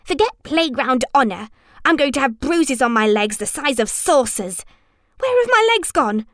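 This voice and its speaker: A woman voicing a scared young boy in a storybook with a squeaky, whining delivery. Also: big pitch swings, moderate pace, fairly bright tone, dry recording, clean studio sound. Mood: complaining. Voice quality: squeaky, whiny.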